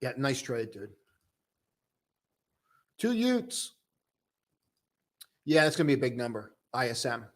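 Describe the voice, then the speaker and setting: A young man casually hosting a live stock-trading stream, chatting loosely and joking with viewers in a relaxed, tired way. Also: medium pitch, takes it slowly, pauses often. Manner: loose, joking, full of restarts and "dude". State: tired, low on coffee.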